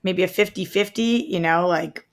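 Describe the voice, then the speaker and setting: A woman's voice: fairly low pitch, moderate pace. A woman co-hosting a movie podcast, sharing her opinions in casual conversation. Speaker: podcast co-host reviewing movies. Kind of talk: casual chat, opinionated discussion.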